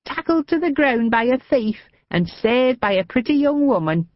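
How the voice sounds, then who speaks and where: A woman voicing a frail, chatty old northern lady with a high, quavering, mischievous voice in a storybook reading. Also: big pitch swings, moderate pace, dry recording, highs cut off. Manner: gossipy, cheeky, confiding.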